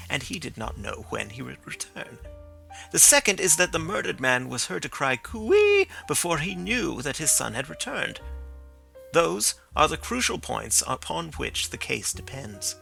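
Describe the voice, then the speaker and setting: A man reading the part of a sharp, calm male detective who reasons things out in a measured, precise way. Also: fairly high pitch, gravelly, full character voice.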